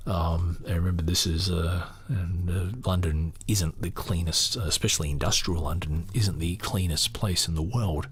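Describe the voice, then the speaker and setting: Very low-voiced man reading and commenting. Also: fairly even intonation, normal speed, few pauses. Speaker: podcast host and book reader. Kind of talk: book reading with informal off-the-cuff commentary.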